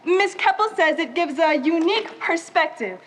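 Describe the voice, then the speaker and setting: A young woman playing a gracious, theatrical Victorian actress in a high school stage play, with polite, expressive delivery. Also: moderate pace, slight background noise, poor audio. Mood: delighted.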